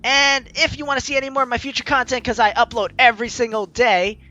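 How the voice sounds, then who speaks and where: A man's voice: very high voice, little pitch movement, fast talker, pushed and bright, faint music behind. A man hosting a gaming video, playfully mixing jokey commentary with theatrical read-aloud narration.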